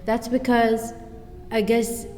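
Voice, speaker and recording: A woman's voice: fairly high pitch, level tone, takes it slowly, mellow. An eighteen-year-old woman from Sweden, a native Swedish speaker, talking through her thoughts in a casual group discussion and leaning on "you know". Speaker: exchange student. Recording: echoey hall with faint noise.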